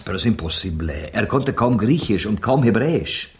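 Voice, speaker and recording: Spanish gentleman of radio drama, courteous, curious, ornate phrasing, low-pitched, very varied intonation. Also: lively intonation, moderate pace. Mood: puzzled, polite. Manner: polite, ornate, slips into Spanish.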